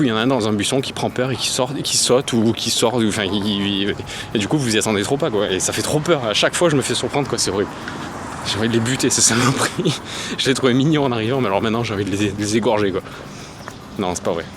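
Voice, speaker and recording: A man's voice: on the low side, fast talker. A young man from France chatting casually while walking through the city, with lots of fillers and slang. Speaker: vlogger. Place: city streets outdoors, walking. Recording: loud traffic noise outdoors.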